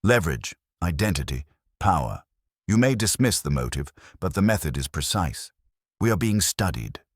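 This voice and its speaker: An imperious, coolly logical detective, acted by a male narrator in an audiobook. He speaks in a very deep, husky voice, with formal, pompous precision, recorded dry. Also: very animated, normal speed, dry recording. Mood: grave.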